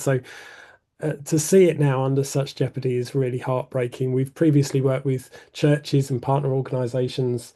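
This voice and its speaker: A hoarse, croaky man, a church public issues officer, speaking earnestly with repeated words. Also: medium pitch, dark tone. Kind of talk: conversational explanation.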